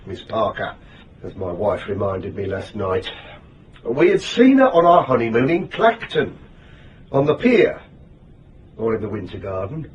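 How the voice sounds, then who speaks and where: A man's voice: medium pitch, recorded from a distance, a little hiss or hum, natural voice, low-quality recording. An actor playing a self-important, grumbling English married man who rambles fussily in a radio drama. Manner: rambling, fussy, repeats himself. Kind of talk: character acting in radio drama.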